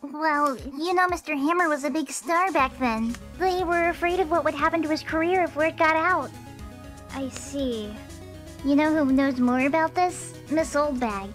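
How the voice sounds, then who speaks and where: A woman voicing an earnest, expressive young woman in an amateur fan dub of a mystery game. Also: high voice, moderate pace, faint music behind. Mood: confiding.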